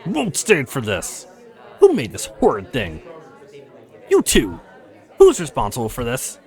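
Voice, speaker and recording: A man's voice: medium pitch, huge pitch swings, unhurried. A man voicing a stern, haughty woman professor in a visual novel, with an imperious, scolding manner. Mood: indignant, scolding. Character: stern, haughty female professor, furious at troublemakers.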